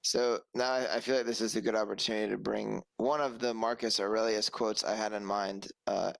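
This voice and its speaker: A young man from the American South, co-hosting a podcast in a joking, relaxed casual chat over a headset mic at home. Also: lowish voice, a little fast, vocal fry, no echo, rough recording. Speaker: podcast co-host. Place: small room with pink lighting, at home.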